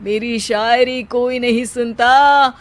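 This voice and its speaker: A lazy young son-in-law from a cartoon moral story, acted out by a woman. The voice is high and unhurried, declaiming silly rhymes with theatrical, self-satisfied flourish. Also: fairly even intonation. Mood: boastful. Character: lazy young man, boastful live-in son-in-law spouting corny rhymes.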